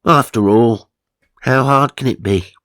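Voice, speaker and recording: An excitable British wife in her thirties, played by a man, bossy and scolding, from a comic magazine story. The pitch lifts above the narrator's low register and the sound is dry and close. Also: a little slow, no echo, close to an everyday voice. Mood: breezy, eager.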